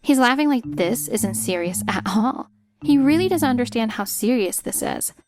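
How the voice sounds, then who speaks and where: An actress playing a fed-up, sharp-tongued wife in a dramatized manga dub, sarcastic and pointed. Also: female voice, fairly high pitch, expressive, moderate pace, a little hiss or hum. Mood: cold, fed up. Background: music.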